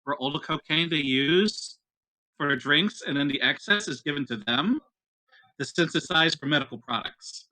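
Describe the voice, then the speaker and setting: Male player, slightly high voice, very bright, casual explaining, dry recording. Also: lively intonation, normal speed, dry recording, low-quality recording. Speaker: tabletop roleplaying player. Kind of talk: casual chat.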